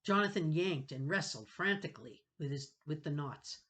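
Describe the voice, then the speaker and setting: A woman's voice: sits low, animated, normal speed, a bit bright. A woman narrating a children's novel dramatically, reading with expression and suspense. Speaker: storybook reader.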